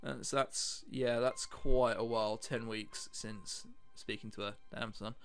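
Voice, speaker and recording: A man's voice: mid-range voice, fairly even intonation, unhurried, a bit bright, clean tone. A man reading a visual novel aloud as a let's player, adding wry, chatty asides and sometimes stumbling over his words. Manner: wry, chatty asides between read lines. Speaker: let's play host. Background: background music.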